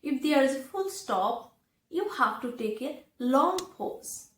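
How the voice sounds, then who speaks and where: A woman who speaks English as a second language, giving an instructional talk to learners in deliberate short phrases with pauses. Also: high-pitched, animated. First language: not English. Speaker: English teacher, video presenter. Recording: echoey room.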